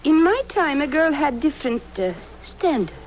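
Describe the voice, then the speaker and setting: Prim, nervous spinster teacher; high, swooping, hesitant delivery, vintage radio. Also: female voice, big pitch swings, normal speed. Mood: prim, eager. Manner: hesitant, polite, fluttery. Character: anxious, prim middle-aged Swiss spinster schoolteacher. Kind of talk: 1950s radio drama acting. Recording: noisy old radio recording.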